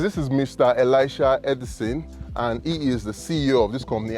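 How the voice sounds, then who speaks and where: A man with a Nigerian accent hosting a casual interview vlog in a chatty, informal way. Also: mid-range voice. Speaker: video host and interviewer.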